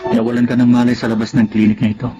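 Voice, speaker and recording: Calm, reassuring clinic man, played by an actor, Filipino accent, radio drama, dull recording, faint music. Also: medium pitch, rapid-fire, lightly acted.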